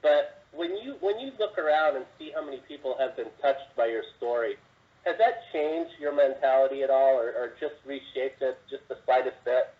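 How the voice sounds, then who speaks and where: Man, sports reporter, medium pitch, rambling conversational delivery, distant phone audio. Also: lively intonation, moderate pace. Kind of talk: conversational, rambling interview questions. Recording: dull, distant phone line.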